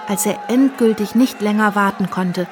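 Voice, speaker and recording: An actress playing a reflective woman recalling revolutionary times in a radio play, in measured, sober first-person narration. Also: medium pitch, a crowd in the background, background noise, natural voice. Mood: sober, wry.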